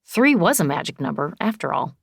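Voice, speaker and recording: A woman reading an audiobook in expressive first person, wry and conversational. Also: deep voice, fairly quick, gravelly, breathy edge, dry recording, studio quality. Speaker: audiobook narrator.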